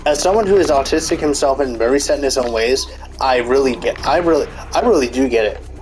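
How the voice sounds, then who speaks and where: A man's voice: medium pitch, fairly quick, a little mellow, a little hiss or hum, poor audio. A man in a casual, rambling commentary rant, full of fillers and restarts, swearing often, with a stuffy nose. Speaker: commentary YouTuber.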